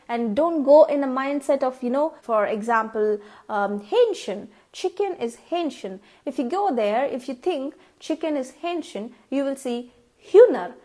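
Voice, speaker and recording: A young Indian woman with a high, bright voice, chatting casually through a vlog. She speaks English with an Indian accent, since her first language is Tamil. She repeats herself often and pauses to sound out words to show how they should be said. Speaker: vlogger.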